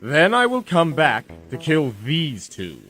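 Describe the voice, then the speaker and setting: An actor playing a smug, menacing male tyrant of the universe in a comic sci-fi radio drama, with theatrical, drawn-out delivery. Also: a little high, huge pitch swings, fairly bright tone, heavily acted. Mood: impatient gloating. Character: smug male villain, self-proclaimed ruler of the universe.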